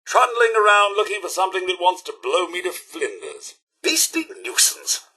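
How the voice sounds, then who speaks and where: A fussy robot butler from a video game, British and impeccably formal. The voice sits high and swoops theatrically, with a bright, forceful edge. He is loyal and deferential, with dry sarcasm never far away. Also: sing-song, normal speed, breathy edge, exaggerated voice. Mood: indignant. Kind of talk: scripted video game voice lines.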